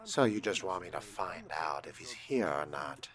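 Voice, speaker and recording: A man's voice: sits low, normal speed. A man voicing a suave, cool-headed vampire fledgling in video game role-play, with droll, formal wording. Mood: businesslike. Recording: loud music under the voice.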